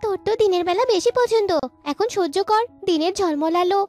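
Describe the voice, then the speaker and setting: A woman's voice: extremely high pitch, fairly quick, a little mellow, soft background music. A woman voicing a chatty, argumentative young village girl in a cartoon. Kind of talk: cartoon dialogue.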